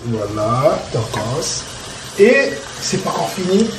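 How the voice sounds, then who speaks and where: A man's voice: mid-range voice, slow pace, background noise. A man from Congo, cooking at home and chatting loosely, leaning on "voilà" and chuckling as he explains. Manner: relaxed, repeats "voilà" a lot, chuckles while explaining. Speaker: home cook. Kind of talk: casual cooking video chat. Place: home kitchen. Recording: echoey kitchen with music playing.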